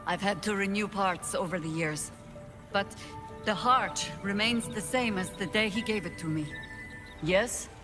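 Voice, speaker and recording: Proud weary older druid lady from a video game, wry and grieving, real slow and deliberate, music behind. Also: low voice, a bit bright. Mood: wistful. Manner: measured, deliberate, wry and eloquent. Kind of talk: dramatic video game dialogue.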